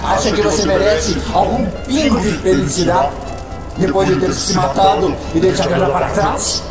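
A man's voice: high-pitched, moderate pace, close to an everyday voice. A man voicing a cruel phantom voice that torments a fighter in an audio drama. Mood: taunting. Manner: taunting, cruel. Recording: roomy echo under loud music.

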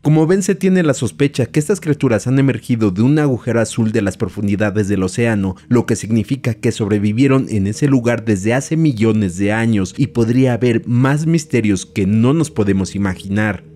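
Dark-voiced male explainer. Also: medium pitch, normal speed, hardly any pauses. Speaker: host, explainer. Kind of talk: scripted explainer narration.

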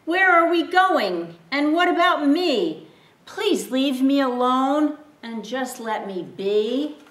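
A woman voicing a timid, weepy young male donkey who pleads in a high, fretful voice. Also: expressive, slow pace. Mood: frightened. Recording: roomy, distant mic.

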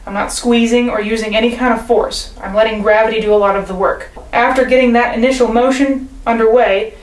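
A young woman calmly and patiently explaining a percussion technique, recorded at home by a camera a few feet away. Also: on the high side, reverberant, low-quality recording. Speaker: percussion teacher. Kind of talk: instructional demonstration. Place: living room at home.

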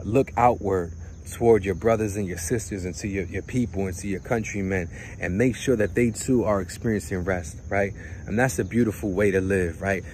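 A pastor in his thirties preaches by a lake at dawn, filmed at close range on a phone. His voice sits on the low side and his delivery is conversational, with frequent restarts and repeated words. Faint crickets and insects hum behind him. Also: a little mellow. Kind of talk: outdoor sermon.

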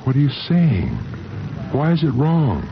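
A man acting in a 1970s radio drama as a shy, earnest, lovestruck engineer, speaking haltingly and eagerly. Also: mid-range voice, big pitch swings, noisy background. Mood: urgent, lovestruck. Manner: halting, eager, repeating himself. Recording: dull vintage radio recording with music.

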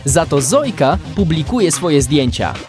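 A man's voice: on the high side, very animated, a little slow, a little mellow, soft background music. A man narrating a children's adventure in a scripted audio drama. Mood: storytelling. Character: storyteller of a kids' adventure.

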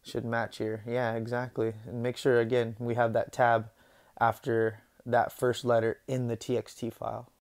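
A young man hosting a software tutorial, talking casually over his screen recording and often saying "boom" and "guys". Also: moderate pace. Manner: relaxed, conversational, says "boom" and "guys" often.

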